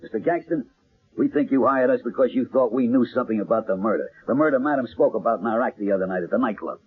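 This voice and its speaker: A very raspy man speaks as a blunt, suspicious accuser in a 1970s mystery drama. He is curt and sardonic. The recording is tinny and boxed-in like an old broadcast. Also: mid-range voice, quick pace. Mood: confrontational. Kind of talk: 1970s radio mystery acting. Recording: tinny, band-limited old radio broadcast.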